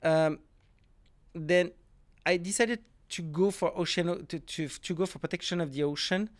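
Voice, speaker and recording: A man's voice: a little high, a little slow. Middle-aged man with a French accent. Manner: explanatory, loose non-native phrasing with restarts. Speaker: marine ecology professor, podcast guest. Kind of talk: podcast interview.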